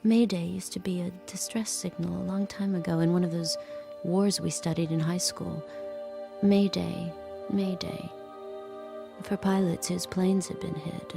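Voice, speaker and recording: A woman playing a subdued, watchful handmaid in an audio drama, narrating her thoughts in a measured, hushed, introspective way. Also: fairly low pitch, fairly even intonation, vocal fry. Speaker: lead actor and first-person narrator. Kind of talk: audio drama, intimate literary narration and dialogue. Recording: music under the voice.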